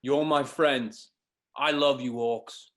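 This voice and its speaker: A man playing an earnest, warm-hearted fighter in a comic fantasy table read. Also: medium pitch, steady tone, takes it slowly. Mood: heartfelt.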